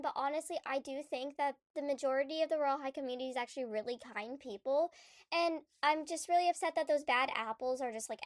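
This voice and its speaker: A woman's voice: high voice, no room sound, studio quality. A young woman with a stuffy, congested voice from a cold, chatting casually about a game in a rambling vlog. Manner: chatty and rambling, many fillers and restarts. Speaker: gaming YouTuber.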